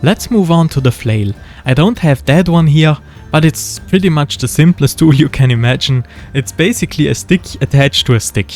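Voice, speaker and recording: A man with a German accent talks through a miniature build in an easygoing voice-over. His voice sits a little high for a man, and his humour is dry and understated. Faint music plays underneath. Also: moderate pace. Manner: relaxed and wry, with dry jokes. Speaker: model-building video creator. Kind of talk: casual voice-over narration of a craft build. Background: faint background music.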